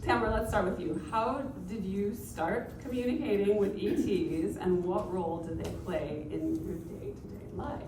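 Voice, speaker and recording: A woman's voice: medium pitch, moderate pace, hardly any pauses. A woman moderating a panel, introducing the speakers with fillers and asking them questions. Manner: reads bios with fillers, light laughs. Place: expo panel room. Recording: roomy, distant, noisy expo hall.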